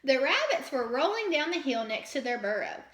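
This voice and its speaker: A woman reading a children's story aloud like a teacher, with dramatic, expressive storytelling. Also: high-pitched, moderate pace, roomy sound.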